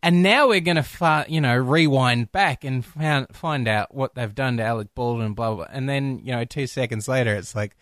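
A man co-hosting a film podcast, excitable and quick to laugh, sometimes breaking into loud impressions. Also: medium pitch, no echo, studio quality. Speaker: podcast co-host. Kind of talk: casual film discussion.

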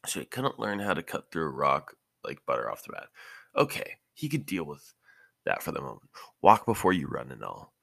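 A man reading scripted fan fiction narration for a podcast, expressive, with an occasional stumble. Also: rumbling low, moderate pace, vocal fry, no echo, studio quality.

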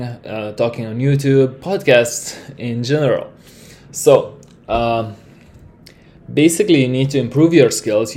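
Lithuanian man, non-native English, loose solo podcast ramble, mid-pitched. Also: a little mellow. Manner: rambling, many fillers and restarts. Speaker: podcast host.

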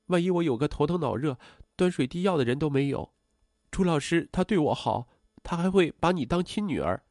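A soft-spoken middle-aged mother in an audio story, voiced by a male narrator. The delivery is gentle, bashful and coaxing, with lively pitch movement. The sound is close and dry. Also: fairly quick, no room sound. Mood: earnest, coaxing.

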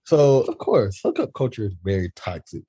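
A low, husky man hosts a podcast with loose, slangy banter. He teases his co-hosts playfully, and his tone stays dark and relaxed. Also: normal speed. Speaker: podcast host and creator. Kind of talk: casual group chat.

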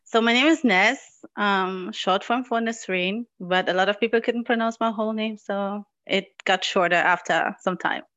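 A woman from Morocco talking casually as an interview guest over a video call on a headset, chatty and quick to laugh. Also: medium pitch, a bit bright, dry recording, lo-fi sound. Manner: chatty, laughs easily, many fillers. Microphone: headphones with mic on video call.

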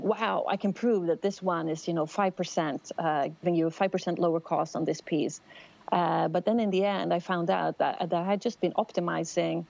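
A woman's voice: lowish voice, gravelly, warm tone, highs cut off. A middle-aged woman from Sweden with a Swedish accent, giving a thoughtful interview answer with many fillers and restarts. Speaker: telecom executive guest. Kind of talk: conversational interview.

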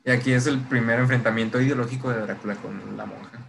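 A man's voice: mid-range voice, fairly even intonation, fast, vocal fry. Irreverent Mexican man, noisy recording. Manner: irreverent, heavy slang and swearing. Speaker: podcast co-host. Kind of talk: casual chat recapping a TV series.

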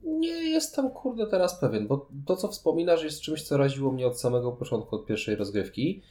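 A man's voice: medium pitch, sing-song, moderate pace, mellow. A man talking as a podcast host, reviewing board games conversationally in long, explanatory sentences.

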